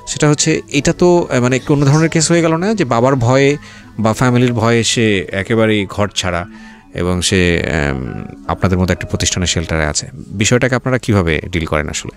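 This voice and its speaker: A man's voice: lowish voice, fairly quick, faint music behind. A man with a Bangladeshi accent hosting an interview, speaking informally and asking probing, prodding questions. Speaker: radio show host interviewing guests.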